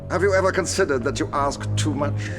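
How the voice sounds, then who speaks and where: A man plays a cold, resentful wizard professor in a video game. His delivery is cutting and disdainful, pitched a little high, with music clearly behind it. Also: brisk, close to an everyday voice. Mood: bitter, resentful. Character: bitter, disdainful adult male potions professor, resentful and cold. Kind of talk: dramatic video game acting.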